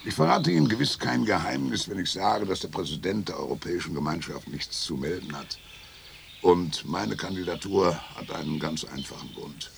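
An actor playing a grumpy, sardonic retired Finnish statesman of sixty-five in a radio play, gravelly and gruff. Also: deep voice, normal speed. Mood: sardonic, lecturing. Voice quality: creaky, gravelly. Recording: faint outdoor background noise.

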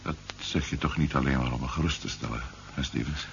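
An actor playing a weary, distraught man missing his wife in a Dutch science fiction radio drama, gravelly and exhausted. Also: very deep voice, brisk, fairly bright tone, exaggerated voice. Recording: dull, noisy 1970s radio recording.

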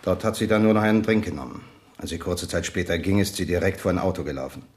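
An actor playing a polite, matter-of-fact police sergeant in a radio crime drama, measured and official. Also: male voice, deep voice, a little hiss or hum, lightly acted. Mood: grave, factual.

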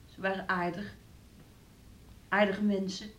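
A woman's voice: medium pitch, level tone, a little slow, close to an everyday voice. An actress playing a sick, bedridden young woman in a radio play, plaintive and pleading with her fiancé. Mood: wistful, hurt. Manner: plaintive, speaks of herself in third person.

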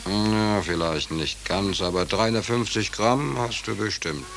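Low, wry down-and-out drifting sailor. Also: male voice, a little slow. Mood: musing, tender. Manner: colloquial, drawling, wry. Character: down-and-out sailor, hungry, laconic, sardonic, soft-hearted drifter. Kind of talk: 1940s German radio drama acting. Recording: noisy 1940s radio recording.

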